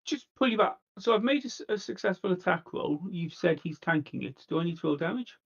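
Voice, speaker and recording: A man's voice: sits high, animated, a little fast, gravelly, lo-fi sound. A man playing a tabletop game, stating his actions and dice results in casual talk.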